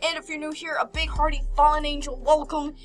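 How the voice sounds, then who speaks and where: A woman hosting a game playthrough, reading visual novel text aloud with animated, casual reactions and stumbling over words now and then. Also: high-pitched, brisk. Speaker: host of a video game playthrough. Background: game background music.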